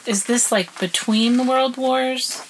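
A woman's voice: high-pitched, steady tone, slow, a little hiss or hum, low-quality recording. A woman hosting a casual chat, adding playful sarcastic quips.

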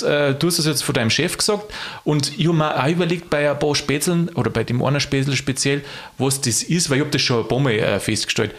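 A man, chatting casually as a show host and rising in pitch for emphasis. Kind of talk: casual chat.

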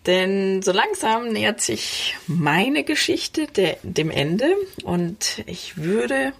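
A woman hosting a podcast in casual, chatty conversation, with a husky and slightly creaky voice. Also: mid-range voice, sing-song, takes it slowly. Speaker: podcast host.

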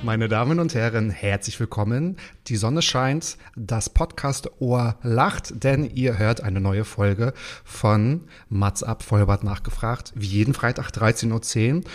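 A man's voice: fairly low pitch, a little slow, few pauses, faint music behind. A man in his thirties hosting a podcast interview, chatting casually with playful, joking banter. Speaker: podcast host.

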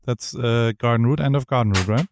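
A man's voice: medium pitch, a little fast. A middle-aged man hosting a casual interview, talking eagerly in rambling sentences with many restarts. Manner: enthusiastic, rambling with frequent restarts. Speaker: podcast host.

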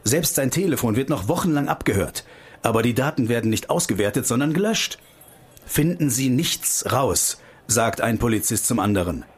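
An actor playing a determined, disillusioned investigator in a political radio play, measured and dryly sardonic. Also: male voice, medium pitch, animated, breathy edge. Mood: grave, insistent. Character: determined male investigator, disillusioned with corrupt state authorities.

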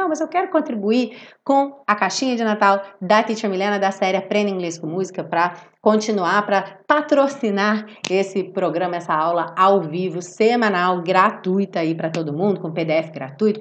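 A Brazilian woman with a medium-pitched voice, a little hoarse from a cold. She talks informally to viewers as a teacher on a livestream, in an expressive, affectionate and chatty way. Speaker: English teacher hosting a live online music-based class.

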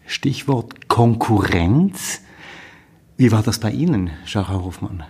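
A male host moderates a studio discussion. His low, husky voice carries measured, probing questions. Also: lively intonation, a little mellow. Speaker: talk show host.

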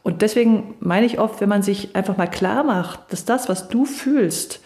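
A middle-aged woman coaching on voice and stage fright, explaining calmly and reassuringly in a podcast conversation. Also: mid-range voice, moderate pace. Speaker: voice and charisma coach. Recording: echoey room.

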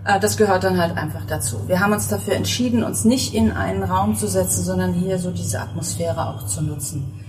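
A woman's voice: on the low side, a little fast, recorded from a distance, noisy background. A middle-aged woman hosting a podcast interview, talking casually with many fillers and restarts, on an outdoor terrace. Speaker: podcast host. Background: passing people and cars, music in the intro.

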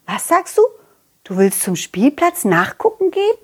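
A woman voicing a confident, loyal, cheerful dog who tells stories to children in an excitable, childlike way, as in an audio play. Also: fairly high pitch, moderate pace, husky. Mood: playful, pleading. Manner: excitable, childlike, speaking directly to child listeners. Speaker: puppeteer and storyteller.

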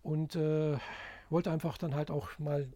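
A man's voice: a little high, little pitch movement, mellow, dry recording. A man talks in a casual, rambling monologue, full of fillers and restarts. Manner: rambling, halting, many fillers. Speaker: language learner.

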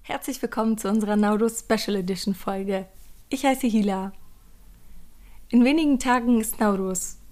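Woman, podcast host, mid pitch, upbeat, chatty, conversational. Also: moderate pace, darkish tone, slight background noise. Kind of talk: casual podcast hosting.

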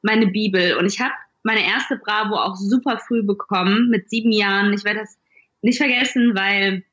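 A woman's voice: mid-range voice, moderate pace, a bit bright, muffled recording. A woman from the Duisburg area of western Germany, in her thirties, chatting casually and telling personal anecdotes as a podcast guest.

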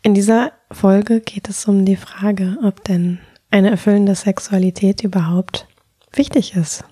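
A woman hosting her own podcast as a coach, speaking gently and reflectively, a little nasal from a cold. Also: medium pitch, steady tone, unhurried, husky, no echo. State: has a cold, slightly nasal. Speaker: podcast host and sexual life coach. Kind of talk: solo podcast talk.

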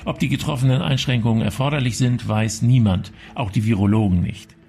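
Man, radio commentator from Berlin, measured and earnest, gravelly, faint music beneath. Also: mid-range voice, darkish tone. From Berlin, Germany.

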